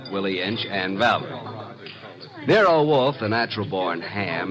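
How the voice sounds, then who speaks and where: An actor playing a wisecracking, streetwise detective's assistant in an old radio drama, with a wry, clipped delivery. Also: male voice, low voice, poor audio. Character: wisecracking, exasperated male private detective's assistant, streetwise and sardonic. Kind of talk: old-time radio drama acting and first-person narration.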